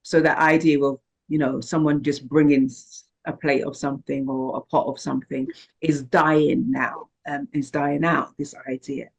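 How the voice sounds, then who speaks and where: A woman's voice: deep voice, flat delivery, moderate pace, creaky, slightly rough, poor audio. Female moderator from the Caribbean, conversational and thinking aloud, heard over a video call. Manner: thoughtful, with frequent restarts and repeated words. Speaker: moderator of an online discussion. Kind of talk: casual online group discussion.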